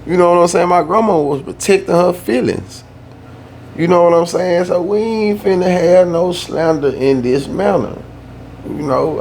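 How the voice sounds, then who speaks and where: A young man from the Southern US telling a family story in a laid-back, slangy way that turns animated when he gets worked up. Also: sits high, gravelly, slight background noise. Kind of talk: casual storytime.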